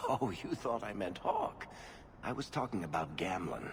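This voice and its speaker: A man's voice: on the low side, very animated, unhurried, gravelly, noisy background, exaggerated voice. An actor playing a teasing, sardonic dwarf man in a video game, talking like a storyteller. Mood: wry teasing. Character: wry dwarf man, teasing, self-styled professional younger brother.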